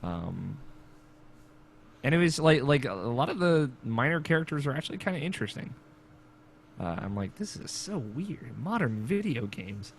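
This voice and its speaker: A man's voice: medium pitch, very animated, moderate pace, gravelly, a little hiss or hum. A man hosting a podcast from a small home studio, talking laid-back and casually about games, swearing now and then. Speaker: podcast host. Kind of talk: casual gaming chat. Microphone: desk mic on arm, headphones.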